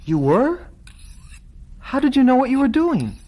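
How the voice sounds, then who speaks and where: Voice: high-pitched, big pitch swings, measured pace, dark tone, a little hiss or hum. An actor playing a reluctant, questioning twelve-year-old boy in a vintage radio drama, earnest and defensive.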